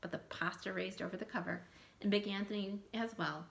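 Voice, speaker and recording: A woman reading a picture book aloud to children in an animated, expressive way, adding asides and questions in mock surprise. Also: medium pitch, fairly quick, creaky. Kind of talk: animated read-aloud storytelling with asides to young listeners.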